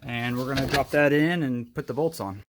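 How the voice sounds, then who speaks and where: Guy doing a diy how-to, medium pitch, casual, explains each step as he goes. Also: moderate pace, background noise. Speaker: host of a DIY vehicle video. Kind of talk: casual how-to narration while demonstrating.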